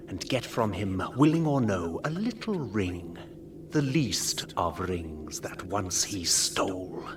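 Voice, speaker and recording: Distant noisy sound, a menacing dark lord's herald, slow and coaxing. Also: male voice, on the low side. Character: sinister dark messenger of an evil lord, smooth menace. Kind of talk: radio drama acting. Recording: distant, noisy atmospheric effects.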